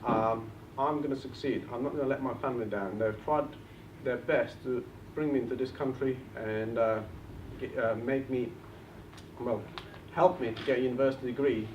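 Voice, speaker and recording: A man's voice: medium pitch, fairly even intonation, normal speed, a little mellow. A man in his thirties from Bulgaria with a Bulgarian accent, giving an earnest motivational talk at a property seminar. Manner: earnest, rallies the crowd. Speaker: property investment speaker. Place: event hall. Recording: roomy, distant, dull lo-fi with faint noise.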